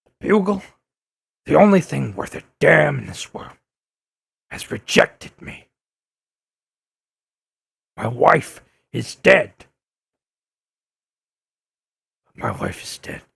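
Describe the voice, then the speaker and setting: A man playing an older, embittered, grieving newspaperman in an audio drama, gravelly and husky, with halting, emphatic delivery. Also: medium pitch, big pitch swings, a bit bright, no echo, clean studio sound, full character voice. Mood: broken, bitter.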